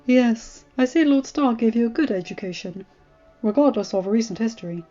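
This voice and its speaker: A woman voicing a kindly, wise, very elderly male maester in a fantasy story, speaking in a courtly, measured way. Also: a little high, expressive, dark tone, close to an everyday voice. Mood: warm, proud. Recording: muffled audio with music.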